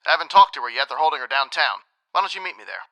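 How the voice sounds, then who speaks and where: An actor playing a tough, no-nonsense police sergeant in old radio drama style, matter-of-fact, pressing and sardonic. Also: male voice, a little high, expressive, rapid-fire, sharp tone, no room sound, rough recording. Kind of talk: 1950s radio detective acting.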